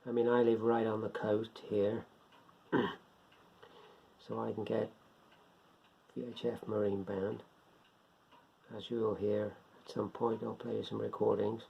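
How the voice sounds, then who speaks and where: A man giving an informal, unscripted beginners' explainer in a rambling, step-by-step way, repeating himself, with a gravelly, husky voice. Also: lowish voice, little pitch movement, unhurried, long pauses, slight background noise. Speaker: hobbyist presenter of a beginners' guide. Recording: dull lo-fi home recording.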